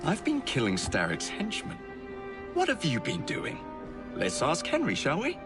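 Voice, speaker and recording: A man's voice: fairly high pitch, wildly swooping pitch, a little slow. An actor playing a brash, hot-tempered young English man with a sardonic, flippant manner in a video game cutscene. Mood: defiant. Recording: music behind the voice.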